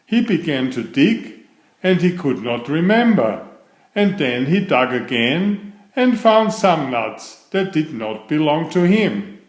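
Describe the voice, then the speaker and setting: Slow, lively male storyteller in a roomy space. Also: fairly high pitch. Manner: measured, expressive storytelling. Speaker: storyteller reading a children's book. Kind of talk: read-aloud children's story narration.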